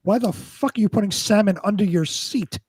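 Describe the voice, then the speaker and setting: Male contestant, high husky voice, blunt casual banter. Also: a little fast, a little mellow, no room sound, clean studio sound. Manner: blunt, sweary. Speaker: show contestant.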